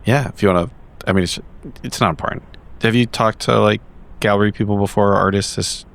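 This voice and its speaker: A man improvising as a player in a tabletop role-playing game, speaking casually and haltingly. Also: fairly low pitch, fairly even intonation, vocal fry, fairly bright tone. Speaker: player in an actual-play podcast.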